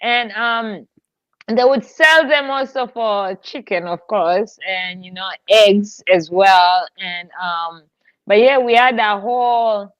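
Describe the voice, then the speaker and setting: A woman hosting a casual podcast interview in an animated, informal, playful way. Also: mid-range voice, slow pace, no echo, highs cut off. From Tanzania. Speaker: podcast host.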